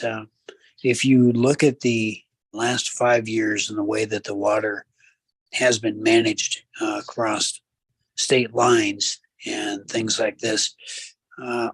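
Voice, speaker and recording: A man co-hosting a casual talk show, giving measured commentary with preacherly asides to the listeners. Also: lowish voice, breathy edge, no echo. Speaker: radio show co-host.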